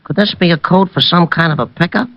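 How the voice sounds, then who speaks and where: An actor playing a deadpan, matter-of-fact policeman in a 1940s radio detective drama. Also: on the high side, quick pace, no echo, natural voice. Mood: deliberate. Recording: dull vintage radio recording.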